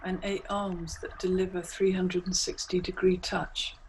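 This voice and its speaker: Woman doing guided meditation, really slow, short hypnotic phrases w long gaps, ambient noise behind her. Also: on the low side, little pitch movement, rough recording. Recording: clearly audible background noise, ambient soundscape. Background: ambient soundscape.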